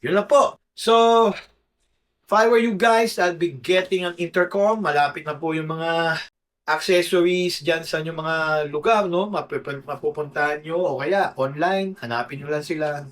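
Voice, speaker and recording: A man's voice: a little high, normal speed, fairly bright tone. A Filipino man giving a casual product review to camera, informal and often checking in with short tag questions. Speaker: motorcycle gear reviewer.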